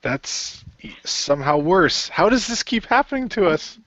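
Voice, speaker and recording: A man's voice: sits high, very animated, unhurried, fairly bright tone, no room sound, muffled recording, voice pushed up, full character voice. A man voicing an earnest, nervous but determined hero in an amateur radio play, hesitant and repeating himself. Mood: determined, frustrated.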